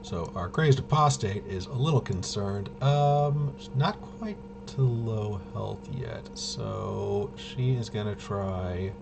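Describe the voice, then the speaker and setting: A man's voice: lowish voice, slow pace, gravelly, few pauses, over music. A man giving casual play-by-play of a tabletop roleplaying game, muttering and thinking aloud as he goes. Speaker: solo tabletop game player and host.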